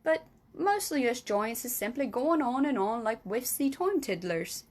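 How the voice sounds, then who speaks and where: A woman voicing a kindly, very old male giant in a storybook reading, booming and drawn out, mangling his words and grammar. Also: sing-song, measured pace, darkish tone. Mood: emphatic.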